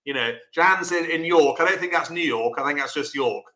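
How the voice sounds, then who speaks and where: A loud, chatty man teaches a live online bridge class, talking learners through each step in an encouraging way. His voice is bright and forceful. The room sounds echoey. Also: fairly high pitch, fairly quick.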